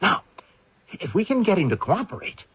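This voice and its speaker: An actor playing an excitable, folksy male film crewman in a radio drama, colloquial and prone to stammer. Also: high voice, moderate pace, breathy edge, full character voice. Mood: excited. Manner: colloquial, stammering when flustered. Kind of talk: old-time radio drama acting. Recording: dull vintage radio recording.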